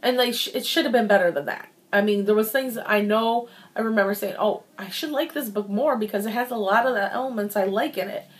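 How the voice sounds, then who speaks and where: A woman's voice: mid-range voice, brisk, hardly any pauses. A woman giving a casual, chatty book review, informal and rambling as she thinks aloud and repeats words for emphasis.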